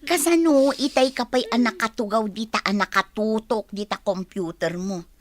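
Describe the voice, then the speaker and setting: An actress playing a playful, teasing young Filipino office friend in a radio drama. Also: female voice, fairly high pitch, moderate pace, lightly acted.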